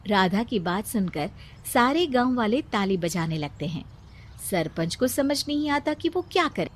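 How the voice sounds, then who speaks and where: A woman narrating a cartoon story in a calm, storytelling way. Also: mid-range voice, moderate pace.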